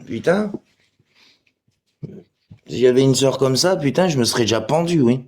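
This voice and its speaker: Guy on a live stream, average pitch, sweary, abusive, arguing back at commenters. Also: animated, moderate pace. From Portugal. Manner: crude, abusive, confrontational, swearing. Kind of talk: livestream chat replying to commenters.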